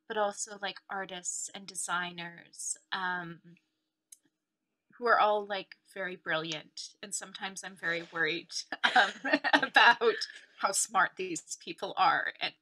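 A woman's voice: medium pitch, unhurried, bright, forceful tone, poor audio. A woman from Canada, a guest talking reflectively over a video call, with many "like"s and "um"s. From Ontario, Canada. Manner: reflective, chatty with many likes and ums. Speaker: guest, dancer and researcher. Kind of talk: casual interview chat.

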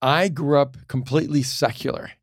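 A man's voice: medium pitch, fairly even intonation, moderate pace, creaky, no echo, clean studio sound. A middle-aged man, a pastor who teaches communication, telling earnest, reflective stories in a casual podcast conversation.

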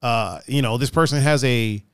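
A laid-back man with a raspy voice hosts a casual talk show, cursing freely and joking as he reads out viewer comments. His voice sits at an everyday pitch. The recording is close and dry. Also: moderate pace, no room sound. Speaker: podcast host. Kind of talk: casual chat.

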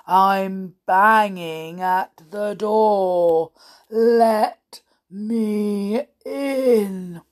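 A woman voicing a menacing storybook bogeyman with a hollow voice and slow, drawn-out, threatening delivery. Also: a little mellow. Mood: slow menace. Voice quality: deep, hollow. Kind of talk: children's storybook character voice.

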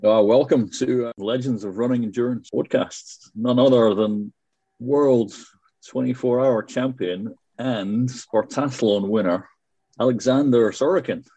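A man's voice: medium pitch, unhurried, dark tone, husky, no room sound. A man hosting a podcast interview, chatty, with frequent restarts. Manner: chatty, stumbling restarts, laughs often. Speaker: podcast host.

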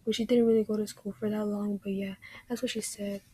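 Young female podcast host; casual recap, filler-heavy, flat intonation, breathy at times, dry recording. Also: medium pitch, brisk. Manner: chatty, fillers, drifts into whispery stretches.

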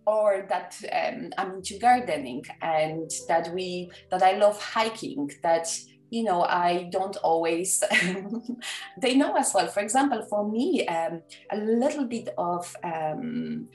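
A woman's voice: lowish voice, slow, a little mellow. Polish-accented woman, echoey and distant. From Poland. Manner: chatty and enthusiastic, many fillers and restarts. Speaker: wedding photographer, podcast guest. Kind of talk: casual interview chat. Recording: echoey room, off the mic, faint music.

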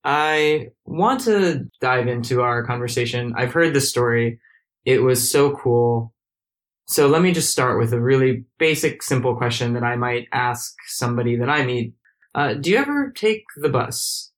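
A man hosting a podcast interview in a hotel room, asking friendly, measured questions. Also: mid-range voice, expressive. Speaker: podcast host.

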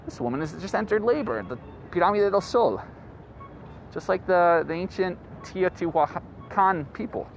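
A man in his late twenties hosts a podcast in a rambling, profane monologue, with a slightly high voice that swings all over the place. He was recorded outdoors, and steady street traffic noise sits clearly under his voice. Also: normal speed. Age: late 20s. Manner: rambling, profane, animated storyteller. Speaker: podcast host.

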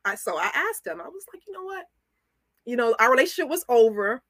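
A woman telling stories candidly and casually as a podcast guest, acting out the dialogue she quotes in an animated way. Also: on the high side, a little fast, dry recording. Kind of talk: casual, candid storytelling in conversation.

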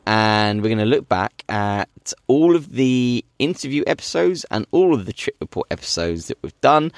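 A man in his thirties with a hoarse voice from a cold, chatting casually as a podcast host and rambling with many fillers. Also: fairly low pitch, no echo, studio quality. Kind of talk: casual chat.

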